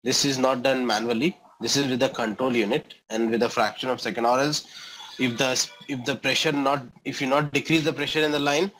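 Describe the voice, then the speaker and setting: A man's voice: mid-range voice, fairly even intonation, fairly quick, fairly bright tone, low-quality recording. A middle-aged man with an Indian accent giving an explanatory lecture as an engineering instructor, often checking understanding with short questions and tags.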